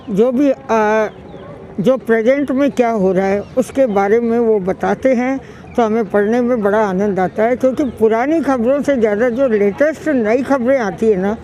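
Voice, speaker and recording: A woman's voice: a little high, mellow, highs cut off, a little hiss or hum. A middle-aged woman answering street interview questions outdoors in a park, speaking fondly and emphatically and repeating herself. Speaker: longtime newspaper reader interviewee.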